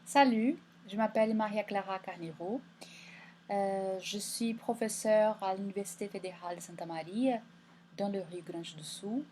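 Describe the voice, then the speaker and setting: A Brazilian woman university professor introducing herself in French with a Brazilian accent, relaxed and a little hesitant. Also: mid-range voice, moderate pace, slight background noise. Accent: Brazilian Portuguese. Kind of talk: self-introduction.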